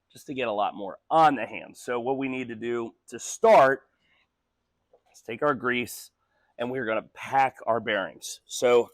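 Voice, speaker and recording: Garage guy going hoarse, chatty with lots of ums, dry close sound. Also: mid-range voice, a bit bright, dry recording. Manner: chatty, with ums and small laughs. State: losing his voice from postnasal drip. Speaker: host of a truck restoration channel. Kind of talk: casual how-to workshop vlog.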